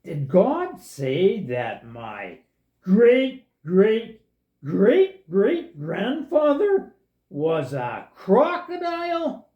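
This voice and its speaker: A woman's voice: mid-range voice, huge pitch swings, extremely slow, exaggerated voice. A woman voicing a naive, timid but friendly crocodile in a children's puppet show, with a simple, questioning delivery. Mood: earnest. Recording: dull, echoey room recording.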